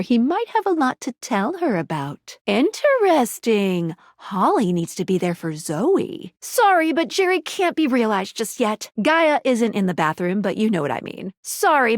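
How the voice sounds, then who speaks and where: A woman's voice: fairly high pitch, dry recording, studio quality. A woman voicing a peppy, quirky female guide in a dating sim video game, with a perky, theatrical delivery. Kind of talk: animated video game character voice lines.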